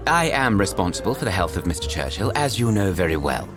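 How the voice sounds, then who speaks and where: An actor playing a shrewd, dignified older physician in an audio drama, formal and precise in speech. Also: male voice, deep voice, sing-song, fast, over music. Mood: dry. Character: Lord Moran, eminent older male physician, shrewd and dignified.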